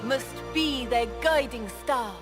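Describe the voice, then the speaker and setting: An actress playing a solemn, stately empress in a fantasy video game, giving formal, measured oratory to a court. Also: female voice, high-pitched. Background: music.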